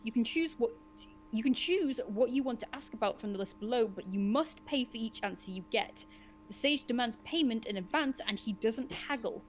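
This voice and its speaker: Female storyteller, lively and swooping, for expressive gamebook narration with casual asides; slight noise behind. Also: fairly high pitch, normal speed. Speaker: host and narrator of a gamebook session.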